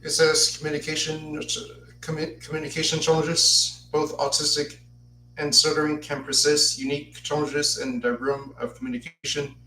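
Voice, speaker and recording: A man's voice: on the high side, level tone, moderate pace, sharp tone, far from the mic. A man in his twenties hosting a solo podcast, who stutters and repeats words as he partly reads from a script. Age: 20s. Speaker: podcast host. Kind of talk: solo podcast talk, partly read aloud. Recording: echoey room, voice off-mic, faint noise.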